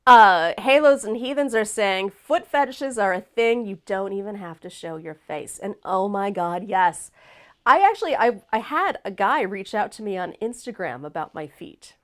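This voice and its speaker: A woman's voice: mid-range voice, expressive, normal speed. Woman hosting a live chat show, laughs a lot, super frank, voice really bouncy. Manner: laughing often, frank, reads chat comments. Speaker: host. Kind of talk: casual chat.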